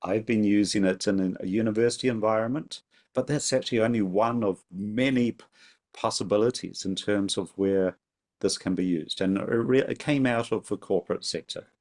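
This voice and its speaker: Man, low voice, measured, conversational webinar co-host, video call. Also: a little mellow. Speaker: academic and workshop co-host. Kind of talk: webinar talk.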